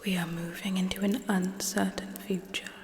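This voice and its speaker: A young woman voicing a coolly menacing, scheming female alien leader in a breathy, deliberate ASMR roleplay whisper. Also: mid-range voice, flat delivery, a little hiss or hum, close to an everyday voice. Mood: commanding, persuasive. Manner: slow, deliberate, hushed, coolly condescending. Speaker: ASMR performer. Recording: long reverberant echo.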